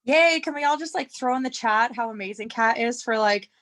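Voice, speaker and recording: A bubbly, upbeat woman speaks to her team on a video call. Her voice sits a bit high and stays enthusiastic throughout. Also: brisk, a bit bright, a little hiss or hum. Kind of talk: casual presentation on a video call.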